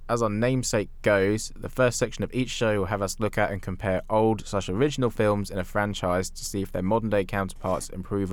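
A man with a distinctly low voice hosts a film podcast. His delivery is casual and conversational, like chatting with friends about movies. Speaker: podcast host.

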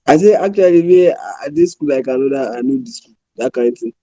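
A man's voice: on the high side, animated, fast, dark tone, no room sound, highs cut off. A man from Nigeria with a Nigerian accent, co-hosting a podcast over an internet call, chatting in pidgin and English with short replies and frequent laughter. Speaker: podcast co-host. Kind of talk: casual chat in pidgin and English.

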